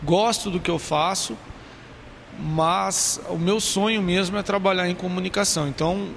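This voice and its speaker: Twenties man, earnest and halting, gravelly edge, handheld mic in an empty classroom, faint noise behind. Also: high voice, fairly even intonation, a bit bright. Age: 20s. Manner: earnest, halting with repeated words. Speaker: interviewee, advertising student. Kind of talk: documentary interview.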